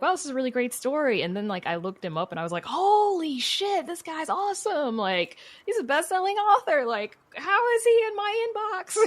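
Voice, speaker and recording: A woman with a low voice talks as a guest in a relaxed interview. Her speech has a marked creaky fry. She is chatty and conversational, dropping in frequent little asides. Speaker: guest, podcast creator and writer.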